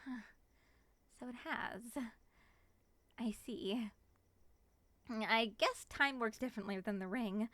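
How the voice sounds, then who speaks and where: A woman playing a playful, bubbly moth-human monster in an ASMR roleplay, coaxing and teasing in an expressive way. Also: a little high, slow pace, pauses often, no room sound. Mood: curious.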